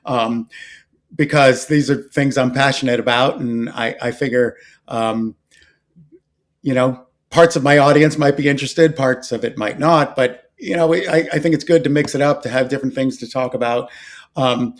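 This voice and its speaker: A middle-aged American man hosting a casual podcast interview, agreeable and rambling, in halting phrases. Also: medium pitch. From Philadelphia area, US. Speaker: podcast host.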